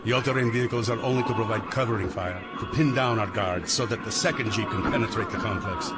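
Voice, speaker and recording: An actor playing a grave Saudi security official at an oil complex, formal, measured and courteous. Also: male voice, lowish voice, lightly acted. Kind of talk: audio drama acting. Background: music.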